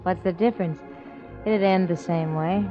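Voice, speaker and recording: Actress as a cool, aloof nightclub organist. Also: female voice, lowish voice, moderate pace, lightly acted. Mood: cool, teasing. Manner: aloof, dryly flirtatious. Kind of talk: 1940s radio drama acting. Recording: dull old radio recording, organ music.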